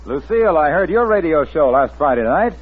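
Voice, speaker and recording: An American man works as a radio pitchman, with a highish, very animated, polished delivery in 1940s style. The recording is tinny and old. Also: a little fast, breathy edge. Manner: polished, emphatic salesman delivery. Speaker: announcer and sponsor pitchman. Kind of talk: 1940s radio commercial announcing.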